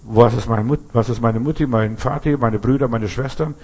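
A man delivers a sermon in a dark, mellow voice with a husky grain. He talks emphatically and leans on rhetorical questions. He often breaks off and restarts his sentences. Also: mid-range voice, normal speed. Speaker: preacher.

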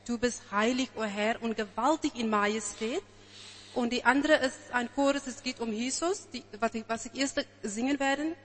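A woman from South Africa, Afrikaans her first language, introduces her song in halting German with an Afrikaans accent. Her voice sits a little high. The sound rings in an echoey hall. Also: a bit bright. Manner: searching for words, halting. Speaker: church singer.

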